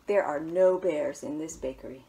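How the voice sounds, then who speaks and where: A woman in her thirties, a children's librarian, reading a picture book aloud at home in a small living room, gently and with soft hushed asides. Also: deep voice, moderate pace, slight background noise, rough recording.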